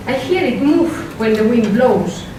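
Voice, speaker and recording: A woman with a strong Spanish accent gives an academic talk in a lecture room, measured and careful, with hesitant fillers when she leaves her script. Her voice sits a little high and comes from a distance. The room is very echoey and the recording carries clear noise. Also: lively intonation. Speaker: philosopher giving a workshop talk. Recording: echoey lecture hall, mic from a distance, noisy.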